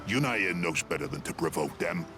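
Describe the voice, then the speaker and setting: Moody alien chief, deep, creaky, guarded, swooping intonation, game cutscene. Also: male voice, lively intonation, fairly quick, music in the background. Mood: grave. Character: suspicious, moody alien male club leader. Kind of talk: video game cutscene acting.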